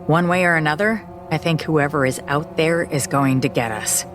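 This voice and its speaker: A woman's voice: low-pitched, normal speed, music in the background, lightly acted. A woman playing a bold, practical female warrior in a sci-fi audio drama. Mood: resolute, practical. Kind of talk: full-cast audio drama acting.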